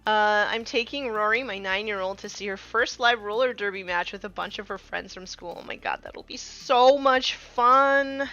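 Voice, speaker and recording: A woman's voice: medium pitch, normal speed, hardly any pauses, pushed and bright, no room sound, muffled recording, faint music behind. A woman in her thirties chatting casually while painting miniatures on a live stream, with many fillers, sometimes trailing off to a murmur.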